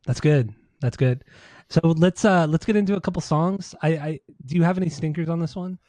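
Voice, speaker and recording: A man's voice: on the high side, brisk, warm tone, no room sound. An American man co-hosting a podcast, chatting casually about music in an informal, slangy, sweary way. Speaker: podcast co-host.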